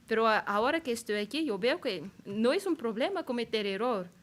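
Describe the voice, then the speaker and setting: A woman's voice: fairly high pitch, lively intonation, fairly quick. A young woman from Madagascar speaking a language that is not her first, telling a personal story at a colloquium, hesitant and searching for words. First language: not Spanish. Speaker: panel speaker. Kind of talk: personal account at a public colloquium.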